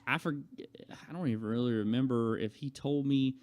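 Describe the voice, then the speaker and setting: A man's voice: mid-range voice, brisk, darkish tone. Young man telling a casual, rambling story. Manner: conversational, acts out quoted remarks. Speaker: vlogger telling a personal story.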